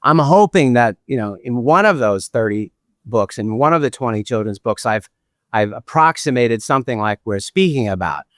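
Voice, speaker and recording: A man's voice: mid-range voice, animated, moderate pace, no echo, clean studio sound. A man with a nasal New York accent, chatting as a self-deprecating podcast guest who often repeats his phrases. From Brooklyn, New York. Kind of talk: casual interview conversation.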